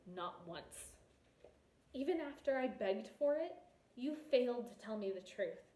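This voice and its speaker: A woman's voice: a little high, lively intonation, a little slow, mellow, voice pushed up. A woman voicing a proud, wounded young princess in a storybook, with clipped, accusing delivery, in a roomy space. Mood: hurt, accusing.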